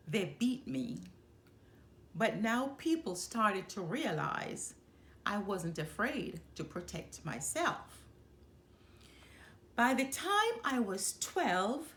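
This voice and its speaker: A woman reading a children's storybook aloud in the first person, expressively, with deliberate, dramatic pauses. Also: medium pitch, a bit bright. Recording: roomy, echoing room.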